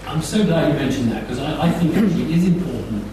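A man reflecting haltingly as a panellist in a live discussion, repeating words as he thinks. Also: fairly high pitch, expressive. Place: live festival panel in echoey room. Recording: very echoey, distant mic, background noise.

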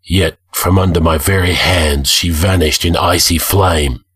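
A man's voice: fairly low pitch, steady tone, unhurried, fairly bright tone. A man voicing a grim, haunted barbarian warrior with a hazy, dazed delivery in a fantasy audiobook.

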